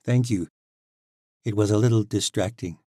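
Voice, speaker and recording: A man voicing a calm, earnest young shaman. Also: fairly low pitch, no echo, clean studio sound, natural voice. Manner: steady, measured, earnest.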